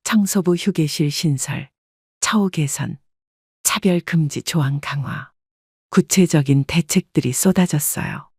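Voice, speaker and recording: A woman reading a scripted first-person story as an audiobook narrator, in a measured, polite storytelling manner. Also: low-pitched, darkish tone, husky, no room sound, clean studio sound.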